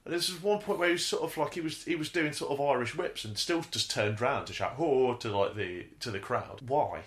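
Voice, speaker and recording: A man's voice: medium pitch, expressive, a little fast, a bit bright. A British man co-hosting a podcast, chatting wryly and ranting with rhetorical questions. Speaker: podcast co-host. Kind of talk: casual podcast chat.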